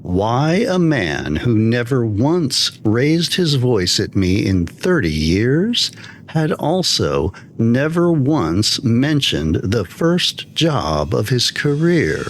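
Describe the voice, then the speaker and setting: A man narrating a scripted first-person horror story as a storyteller. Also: medium pitch. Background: music.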